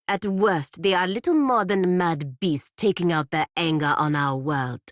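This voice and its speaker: An actress playing a proud, dutiful female warrior in a fantasy video game, speaking in a measured, formal, grave way. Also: on the low side, dry recording, clean studio sound, natural voice. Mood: scornful. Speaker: video game character voice actor. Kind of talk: scripted video game dialogue.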